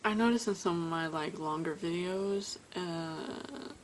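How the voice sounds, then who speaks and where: Tired woman, lower voice, talking casually to camera at home with frequent stutters and mid-sentence stops. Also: measured pace, a little hiss or hum. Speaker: vlogger. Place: at home indoors.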